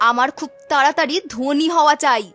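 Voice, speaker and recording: A woman voicing a greedy, stubborn young farm girl who argues bossily in an animated moral-story cartoon. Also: high-pitched, a little fast, soft background music. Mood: stubborn, ambitious. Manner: bossy, argumentative, scolding.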